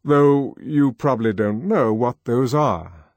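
A man reading an audiobook voices a lofty male controller lecturing students in measured, pointed pronouncements. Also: mid-range voice, darkish tone, dry recording. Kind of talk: audiobook character voice.

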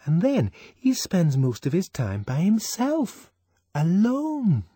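A man's voice: a little high, wildly swooping pitch, slow, a little mellow, no room sound, clean studio sound, voice pushed up, exaggerated voice. A man voicing a prim, lecturing young woman in an audiobook reading. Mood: shocked. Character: stern woman, a conformist friend.

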